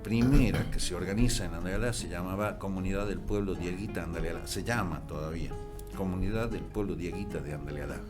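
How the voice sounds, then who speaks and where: Low-voiced Argentine man telling history. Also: quick pace. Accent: Argentine Spanish. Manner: hesitant restarts, animated bursts. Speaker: guest, writer and musician. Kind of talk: conversational storytelling. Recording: music playing behind.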